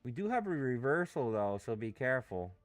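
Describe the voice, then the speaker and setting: A man's voice: medium pitch, very animated, brisk, dry recording, faint music behind. A man streaming live trades, talking casually, restless and impatient, swearing freely as he talks. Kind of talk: casual live trading commentary.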